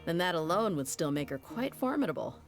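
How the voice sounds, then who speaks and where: A woman's voice: lowish voice, very animated, brisk, music in the background. A woman playing a confident young mercenary in a fantasy game, tough and easygoing, with a casual, teasing manner. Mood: warm, easygoing. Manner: casual, self-assured, teasing.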